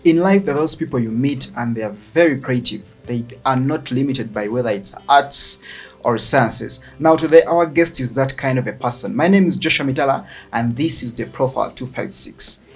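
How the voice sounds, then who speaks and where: A man hosts an interview, asking questions politely and murmuring along as his guest talks. His voice sits at an ordinary pitch for a man. Soft music plays faintly behind him. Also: moderate pace. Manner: polite, many "mm" acknowledgements. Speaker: talk show host. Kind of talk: interview hosting.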